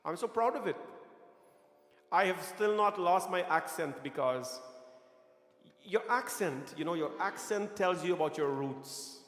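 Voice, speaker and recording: Man, Guyanese accent, middle-aged, preaching, repeats himself, rhetorical questions, slightly high voice, echoey hall. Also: animated, a little slow, long pauses, fairly bright tone. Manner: earnest, repetitive, rhetorical questions to the congregation. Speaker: temple pastor. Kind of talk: sermon. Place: temple hall.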